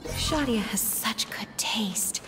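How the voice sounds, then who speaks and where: Hushed, admiring townswoman. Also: a little high, expressive, slow, whispered, music in the background. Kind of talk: scripted video game voice acting.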